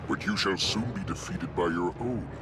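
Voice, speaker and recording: An actor playing a gloating demonic overlord, imperious and contemptuous of mortals, in a breathy, menacing growl. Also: male voice, low-pitched, normal speed, natural voice. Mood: cold contempt. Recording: loud music underneath.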